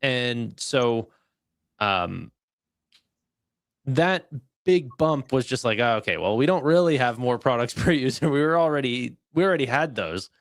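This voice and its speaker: A man with a medium-pitched voice, recorded close and dry. He chats as a co-host, offering opinions informally and feeling his way haltingly through them. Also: lively intonation, no echo. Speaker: live stream co-host. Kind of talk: casual chat commentary.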